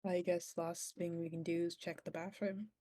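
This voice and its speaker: A woman's voice: lowish voice, level tone, normal speed, mellow, no echo, studio quality. A woman chatting casually as a player in a tabletop roleplaying game.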